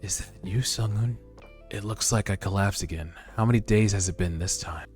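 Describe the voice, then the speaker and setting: Frail, apologetic webtoon mother read by a man in his own low register, subdued, music behind. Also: moderate pace, close to an everyday voice. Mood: gentle, subdued. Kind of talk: webtoon read-aloud dub. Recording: background music under voice.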